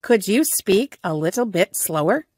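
A woman teaching English, saying each short everyday phrase several times, slowly and carefully for learners. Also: mid-range voice, expressive, darkish tone, husky, dry recording, studio quality. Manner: slow, careful phrase repetition. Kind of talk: repeating phrases for language learners.

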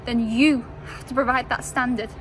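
A woman's voice: on the high side, steady tone, normal speed, over loud music, rough recording. A young woman giving chatty, opinionated vlog commentary outdoors, filmed on a handheld phone. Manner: chatty, opinionated, self-interrupting. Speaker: vlogger. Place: outdoors by a football stadium. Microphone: handheld phone at arm's length. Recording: outdoor noise behind the voice.

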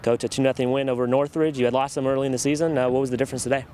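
A man asks post-match questions as a sports interviewer. He talks fast in a mid-pitched voice. He is recorded outdoors, with faint noise in the background. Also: level tone, warm tone. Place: outdoors on a soccer field.

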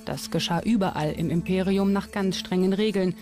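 A documentary narrator reading a scripted commentary in a measured, explanatory way. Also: mid-range voice. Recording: music bed under narration. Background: music.

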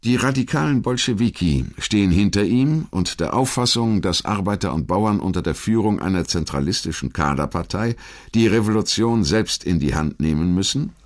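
A man with a low-pitched voice narrates like a documentary audiobook. His delivery is measured and articulate, following a prepared script. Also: lively intonation.

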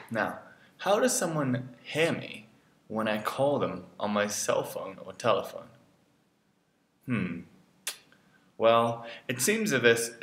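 A man in his thirties presenting an explainer, talking deliberately step by step with pauses. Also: fairly low pitch, a bit bright. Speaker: educational video presenter. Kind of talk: explainer narration to camera. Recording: roomy indoor echo.

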